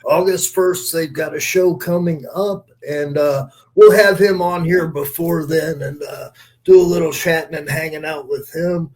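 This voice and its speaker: A man co-hosting a podcast, chatting casually and giving friendly, appreciative shout-outs. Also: a little high, fairly even intonation, a little slow, poor audio. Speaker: podcast co-host.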